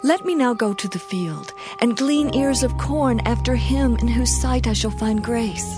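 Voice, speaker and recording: Woman acting a devoted young widow, fairly high voice. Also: measured pace, music in the background, natural voice. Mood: humble request. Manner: earnest, solemn. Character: devoted, steadfast young widowed daughter-in-law. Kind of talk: dramatized scripture reading.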